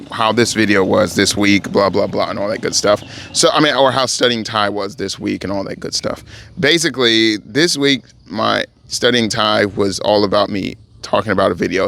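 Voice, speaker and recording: A man speaks casually in a vlog about his language study. His voice sits low and has a gravelly, fry-edged texture. He rambles, corrects himself as he goes, and turns encouraging toward his viewers. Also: a bit bright, a little hiss or hum. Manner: rambling, self-correcting, motivational. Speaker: language-learning vlogger. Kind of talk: casual spoken update to camera. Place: home in Thailand.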